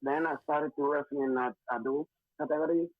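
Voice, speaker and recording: A young Puerto Rican man talks haltingly, searching for English words between fillers. His voice comes through thin and phone-like, with a dry, close sound and no room around it. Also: on the high side, steady tone, no echo. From Puerto Rico. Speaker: interview guest, wrestler. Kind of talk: casual interview answers.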